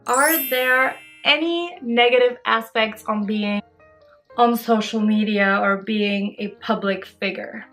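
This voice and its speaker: Bright-voiced young woman. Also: on the high side, takes it slowly, faint music behind. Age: early 20s. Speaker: social media creator answering follower questions. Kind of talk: casual chatty Q&A vlog.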